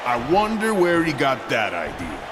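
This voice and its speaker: A man's voice: medium pitch, wildly swooping pitch, moderate pace. A man playing a confident veteran star wrestler delivering a theatrical challenge in a wrestling game. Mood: taunting, building. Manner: theatrical, repetitive build-up. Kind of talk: in-ring wrestling promo. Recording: crowd and noise in arena. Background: arena crowd.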